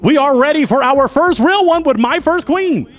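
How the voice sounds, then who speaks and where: A man's voice: extremely high pitch, lively intonation, normal speed, soft background music. A man hosting a dating show with a playful, hyped showman delivery. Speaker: host.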